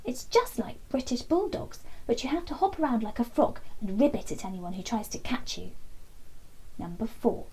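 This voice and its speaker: A woman narrating a children's book as an expressive bedtime story, animated, with dramatic pauses. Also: on the high side, husky, poor audio.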